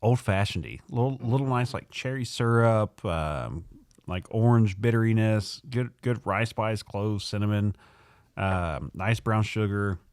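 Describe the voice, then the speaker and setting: A man co-hosting a casual tasting chat in a podcast studio, talking quickly with lots of fillers. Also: on the low side, darkish tone. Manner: quick, wordy, many fillers. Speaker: podcast co-host.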